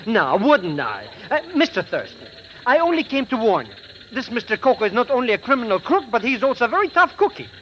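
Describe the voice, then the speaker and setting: An actor playing a nervous, cowardly, money-minded sidekick in an old radio drama, stammering and flustered with oddly formal phrasing. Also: male voice, very high voice, sing-song, brisk. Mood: anxious, cowardly. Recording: muffled, noisy vintage radio recording.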